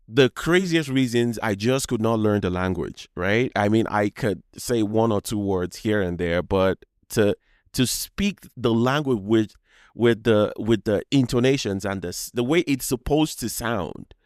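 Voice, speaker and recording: A man's voice: fairly low pitch, a little slow, clean tone, no echo, studio quality. A man from Nigeria with a Yoruba accent, hosting a casual solo podcast in a rambling, conversational way that often doubles back mid-phrase. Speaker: podcast host.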